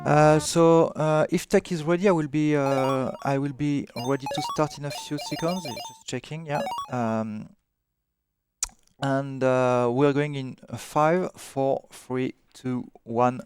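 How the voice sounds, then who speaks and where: A young Frenchman with a French accent talks through a live speedrun, hesitant and full of fillers as he plays. His voice sits at a middle pitch. Clear game music plays under his talk. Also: fairly even intonation, slow pace. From France. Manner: hesitant, many fillers and restarts. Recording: game music playing behind.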